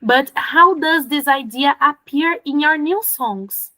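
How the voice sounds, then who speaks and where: A woman interviewing for a music website, a native Portuguese speaker asking questions in halting phrasing. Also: sits high, pushed and bright, no echo, low-quality recording.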